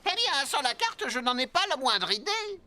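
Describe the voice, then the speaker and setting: A man's voice: very high-pitched, sing-song, moderate pace, bright, forceful tone, a little hiss or hum, heavily acted. A man voicing a fussy, anxious, bumbling pirate first mate who gets flustered, in a French cartoon dub. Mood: flustered, worried. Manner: flustered, muttering to himself. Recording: music behind the voice.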